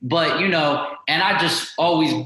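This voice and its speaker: A man hosts a podcast and chats in a casual, agreeable way, full of fillers. His voice is fairly high and bright. It rings out in an echoey room. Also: a little slow. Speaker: podcast host. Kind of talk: podcast interview.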